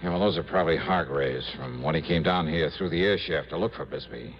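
An actor playing a shrewd private investigator in an old radio drama, terse, skeptical and probing. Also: male voice, extremely low pitch, brisk. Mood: suspicious. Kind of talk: 1950s-60s radio drama acting. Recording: muffled vintage radio recording.

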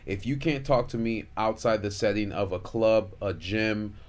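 A man's voice: fairly low pitch, steady tone, moderate pace, darkish tone. A man co-hosting a casual talk show, agreeable and building on others' points. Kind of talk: casual chat.

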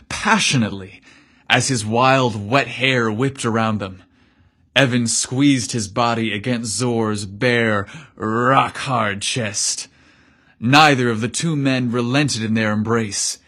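Theatrical, slightly husky male storyteller. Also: mid-range voice, fairly bright tone. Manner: theatrical, emphatic. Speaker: storyteller, host. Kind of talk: dramatic scripted story narration.

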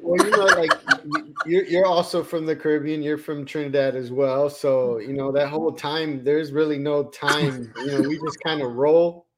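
A man's voice: on the high side, fairly even intonation, normal speed, hardly any pauses, background noise. A man from Colombia co-hosting a podcast, talking in a rambling, friendly way and often repeating words. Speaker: podcast co-host. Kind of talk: casual interview chat.

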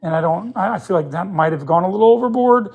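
A man's voice: high-pitched, lively intonation, fast talker, creaky, phone-quality sound. A man in his fifties, a guest in a casual sports interview, speaking in an earnest, opinionated way as he thinks aloud. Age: 50s. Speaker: interview guest, pickleball player.

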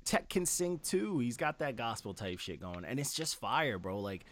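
A man's voice: medium pitch, big pitch swings, normal speed, fairly bright tone. A man in a casual album review chat, talking as a host in a slangy, profane, enthusiastic way. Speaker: music review show host.

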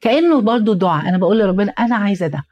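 Fast-talking Egyptian woman with a tired voice. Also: mid-range voice, lo-fi sound. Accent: Egyptian Arabic. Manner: animated storyteller, quotes people in lively asides. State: tired, strained voice. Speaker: podcast co-host. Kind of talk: casual podcast conversation.

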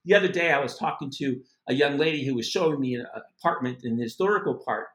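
A middle-aged man from the United States giving a casual spoken language lesson, animated and chatty with asides. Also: mid-range voice, fast talker, reverberant. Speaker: language teacher.

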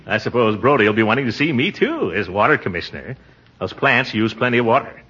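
An actor playing a pompous, easily flustered middle-aged American uncle in a 1940s radio comedy. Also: male voice, mid-range voice, animated, quick pace, pushed and bright, husky. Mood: self-important. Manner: pompous, flustered, given to chuckling. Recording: dull 1940s radio recording.

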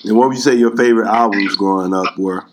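A man's voice: on the low side, fast. A man hosting a casual hip-hop podcast interview, talking in an informal, slangy way. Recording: clear background noise, clicks.

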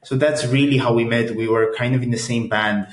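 A young man from Romania, speaking English as his second language, tells his story as a podcast guest. His pitch sits at an everyday middle level, and he talks in a relaxed, hesitant way with plenty of fillers. He sounds roomy and echoey. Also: a little fast. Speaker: guest, agency co-founder. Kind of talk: casual interview. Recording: echoey room.

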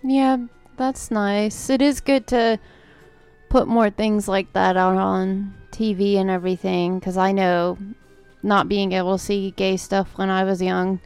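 A woman co-hosts a casual podcast, answering in an easygoing way. Her voice is smooth and resonant with a gravelly fry at the edges. Faint music runs underneath. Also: mid-range voice, flat delivery, unhurried, darkish tone. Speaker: podcast co-host. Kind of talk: casual chat.

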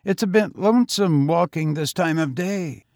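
A man doing a husky, frail teenage tramp. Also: fairly high pitch, moderate pace, darkish tone, no room sound, studio quality, pitched above the performer's own voice, close to an everyday voice. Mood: pleading. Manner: eager, talkative, fatalistic. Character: frail teenage boy tramp, coughing and hoarse.

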